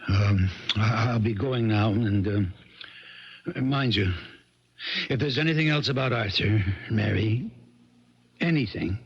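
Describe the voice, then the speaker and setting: An actor playing a friendly, folksy small-town sheriff in a 1970s radio drama, probing gently and hesitating as he goes. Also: fairly low pitch, slow, pauses often, husky, heavily acted. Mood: reassuring, grave. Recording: dull 1970s radio broadcast recording.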